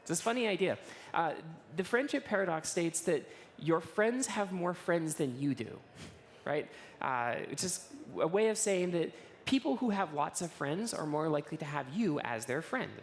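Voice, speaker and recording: A hoarse woman in her thirties, giving an informal conference talk on a large stage with many filler words and restarts. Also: fairly low pitch, lively intonation, moderate pace, a little hiss or hum. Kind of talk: conference presentation. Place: stage of a large conference hall in front of an audience.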